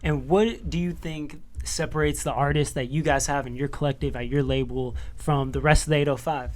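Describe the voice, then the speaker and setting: A man hosting an interview podcast, asking questions in a relaxed, slangy way. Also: fairly high pitch, a little fast. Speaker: podcast host. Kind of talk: casual interview.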